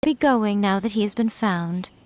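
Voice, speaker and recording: An actress playing a composed, courteous noblewoman with polite, measured speech in a video game. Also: mid-range voice, noisy background, natural voice. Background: game music.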